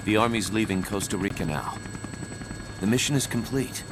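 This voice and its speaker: An actor playing a stern middle-aged veteran soldier in a video game, with a gruff, gravelly voice. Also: male voice, fairly low pitch, moderate pace, noisy background, natural voice. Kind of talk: dramatic video game acting.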